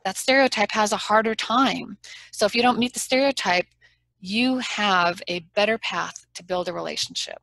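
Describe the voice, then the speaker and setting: A woman's voice: mid-range voice, normal speed, creaky, a bit bright, poor audio. A woman trial lawyer as a guest in an interview, talking in an earnest, frank and persuasive way. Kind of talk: conversational interview.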